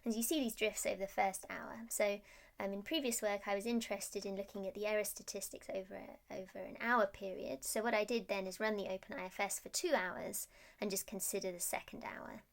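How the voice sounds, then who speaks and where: A woman's voice: medium pitch, steady tone, brisk, gravelly. A woman presenting research as a scientist, explaining technical slides in a hesitant, stop-start way.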